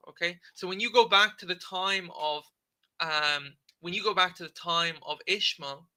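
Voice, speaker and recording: A man talks through a text for his livestream audience in a loose lecture, tagging "okay?" onto his points and filling pauses with "um". His voice sits a little high, sounds very bright and forward, and stays conversational. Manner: conversational, frequent "okay?" checks and filler "um". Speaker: livestream debate host. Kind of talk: casual lecture reading and commenting on a text.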